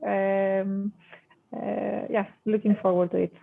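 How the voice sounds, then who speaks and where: A woman from Greece speaks as a panel guest over a video call, working through her answers with many pauses and fillers. Her voice sounds narrow and tinny, as if over a phone line. Her pitch sits in the middle range. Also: level tone, creaky. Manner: thoughtful, hesitant with fillers. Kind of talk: online panel discussion.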